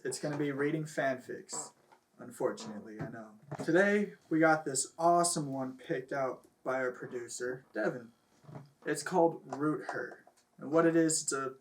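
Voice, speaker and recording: A man with a slightly high voice reads comic fiction aloud like a storyteller, tripping over the odd word. It was picked up by a camera microphone, with some faint noise behind him. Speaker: host reading stories aloud. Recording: noisy distant camera microphone.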